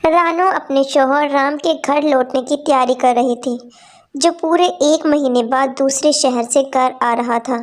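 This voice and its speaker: A woman's voice: high voice, measured pace. A woman narrating a cartoon story in a plain storytelling tone.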